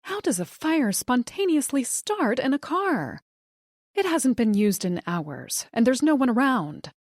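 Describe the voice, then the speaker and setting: A woman voicing a panicking wife who shouts and sobs in a high, strained voice. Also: big pitch swings, measured pace, no room sound, studio quality, heavily acted. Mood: anxious. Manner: shouting, frantic, high-pitched. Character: frantic panicking woman, wife watching disaster.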